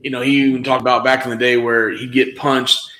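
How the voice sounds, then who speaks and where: Rambling male podcast co-host, mid pitch, recorded in a roomy echoey space. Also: flat delivery, brisk, pushed and bright. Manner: rambling, lots of fillers and restarts. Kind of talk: casual wrestling podcast chat.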